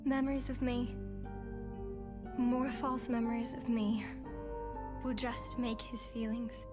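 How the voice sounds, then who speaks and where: A woman voicing a gentle, sorrowful young girl in a video game, soft-spoken and wistful but quietly resolute. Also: on the high side, level tone, slow, music in the background.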